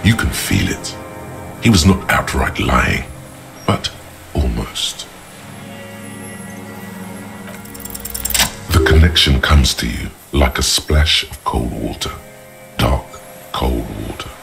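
A detective's inner voice in a video game, knowing and ominous. It is extremely deep and gravelly, deliberate in its narration, with music beneath. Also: male voice, animated, exaggerated voice. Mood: ominous, insinuating. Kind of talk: literary second-person game narration. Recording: music under the voice.

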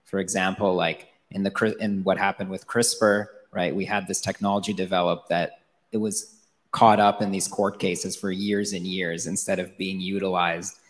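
A man in the audience asks a rambling question, pausing often. His voice is very low and resonates in a hall with long reverb. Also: level tone. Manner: hesitant, many fillers. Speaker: audience member asking a question. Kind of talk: question from the floor.

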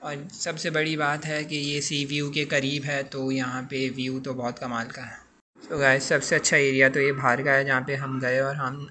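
A man's voice: medium pitch, bright, forceful tone, slight background noise. A man in his twenties vlogging about a restaurant buffet in a casual, chatty way, often saying "so guys" and mixing in English phrases. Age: 20s. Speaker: food vlogger. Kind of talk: casual vlog voice-over.

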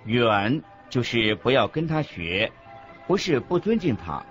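A man playing an earnest Buddhist master who teaches in a measured, didactic way in a scripted radio drama. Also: medium pitch, very animated, a bit bright. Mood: earnest, instructive. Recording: dull lo-fi audio with background music. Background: music.

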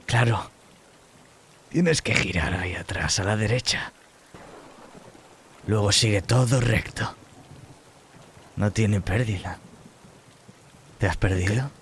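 A man's voice: fairly low pitch, takes it slowly, pauses often, a little hiss or hum. A man voicing an eerie, unsettlingly helpful old male vagrant in a tabletop roleplaying game. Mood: calm, eerie.

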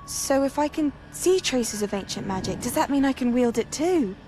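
A woman's voice: sits high, expressive, moderate pace, over music. An actress playing a polite, earnest young female student of magic in a fantasy game cutscene. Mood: curious. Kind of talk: dramatic game cutscene dialogue.

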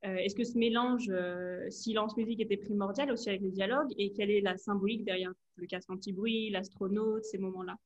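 The woman moderating an online film Q&A, mid-pitched, feeling her way through long questions over a slightly lo-fi connection. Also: steady tone, fast talker, few pauses. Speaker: interviewer, Q&A host. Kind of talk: conversational interview questions. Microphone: video call. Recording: slightly dull video-call audio.

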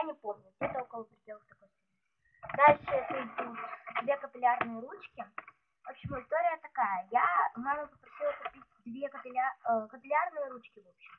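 A woman vlogger showing her stationery at a desk, talking in a chatty, hesitant way while she is ill. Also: sits high. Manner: chatty, hesitant, many fillers. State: ill, voice affected. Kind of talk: casual show-and-tell. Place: indoors at a desk, hands on table. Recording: tinny phone-like audio with loud noise.

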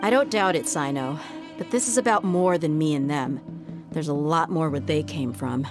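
A woman's voice: low-pitched, moderate pace, music in the background, natural voice. An actress playing a tough, easygoing young female mercenary in a video game, casual and cool-headed. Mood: candid.

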